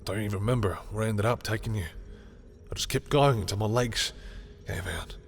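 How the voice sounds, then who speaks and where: A man playing a proud, defensive villain who is secretly lovestruck, speaking an intense, halting confession in a dramatic audio roleplay. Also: lowish voice, vocal fry, slight background noise. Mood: anguished, confessional. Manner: intense, halting confession, swearing, sometimes dropping to whispers. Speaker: voice actor in a romantic audio roleplay. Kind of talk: dramatic audio roleplay monologue addressed to the listener. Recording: music behind the voice.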